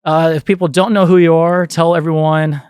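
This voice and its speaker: A man's voice: a little high, little pitch movement, brisk, darkish tone, clear, resonant tone, no room sound, clean studio sound. A man in his twenties hosting a podcast in a casual, chatty, rambling way. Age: 20s. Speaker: podcast host.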